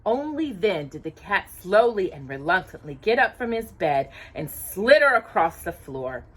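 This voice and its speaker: A woman reading a children's storybook aloud in an expressive, animated storyteller's manner. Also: lowish voice, measured pace, slightly rough. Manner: animated, asks listeners questions.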